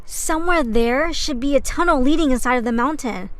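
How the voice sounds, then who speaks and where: A woman's voice: high voice, brisk, dry recording, lightly acted. A woman playing a practical young female companion on a quest in an audio drama. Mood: matter-of-fact.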